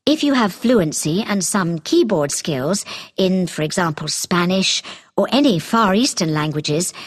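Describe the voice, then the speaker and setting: Woman as a businesslike company recruiter, scripted talk, very lively intonation, politely persuasive. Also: medium pitch. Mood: businesslike, informative. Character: brisk, businesslike female hotel group recruiter. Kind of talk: scripted informational talk for a listening exam.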